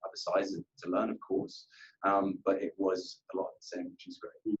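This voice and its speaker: Deep-voiced man giving earnest interview answers. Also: level tone, normal speed, dull audio. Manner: earnest, repeats himself, often says "you know". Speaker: account manager being interviewed. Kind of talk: workplace interview, conversational answers.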